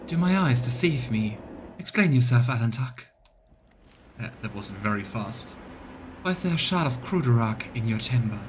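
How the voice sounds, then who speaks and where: A man doing live let's play commentary, reading game text aloud and thinking out loud for his viewers. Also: medium pitch, lively intonation, moderate pace, a little hiss or hum. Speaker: live streamer voicing a game playthrough.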